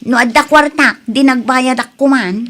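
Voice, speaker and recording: A woman's voice: high voice, moderate pace, fairly bright tone. A woman with an Ilocano accent acting a stubborn, evasive housewife in debt in a comedy radio drama. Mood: defensive. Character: stubborn indebted housewife who makes excuses to creditors.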